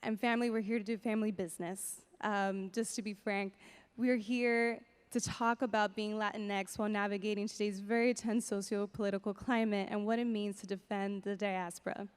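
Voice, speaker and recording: Woman host, bright welcome speech, smooth voice, echoey hall. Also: mid-range voice, level tone, moderate pace. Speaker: event host. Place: large hall stage. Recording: reverberant hall.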